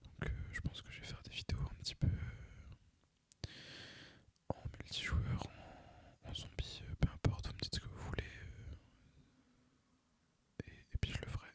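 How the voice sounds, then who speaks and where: A young man whispers in a casual, hesitant, unhurried ASMR ramble as a gaming channel host. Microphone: microphone recorded through a phone, voice-over on gameplay.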